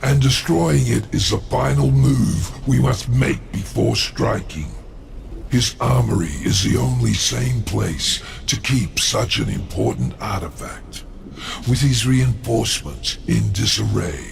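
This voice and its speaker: An actor playing a proud, scheming demon lord in a video game, with a gravelly growl and a slow, formal, condescending way of talking. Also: male voice, mid-range voice, lively intonation, slight background noise. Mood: calculating. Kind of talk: video game cutscene acting.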